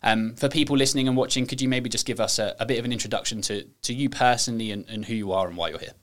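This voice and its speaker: A man's voice: medium pitch, steady tone, rapid-fire. A man hosting an interview, asking loose, friendly questions with fillers. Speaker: podcast host.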